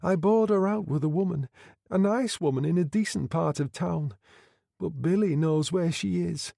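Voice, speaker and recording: A man's voice: on the high side, normal speed, gravelly, warm tone, no room sound, clean studio sound, voice pushed up. A man voicing a frightened young female prostitute who pleads and sobs. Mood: distraught. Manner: pleading, sobbing.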